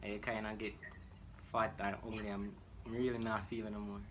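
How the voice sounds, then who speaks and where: A man's voice: fairly low pitch, flat delivery, brisk, noisy background. A young man with a Jamaican accent, chatting casually as he makes a prank phone call. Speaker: prank caller.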